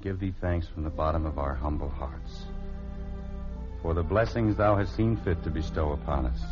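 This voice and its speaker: Genial middle-aged American dad, lowish voice with lively swings, reassuring, old radio comedy, dull recording. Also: male voice. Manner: reassuring, gently authoritative. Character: genial middle-aged family father, calm, wry and fond. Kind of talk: 1950s American radio family comedy acting.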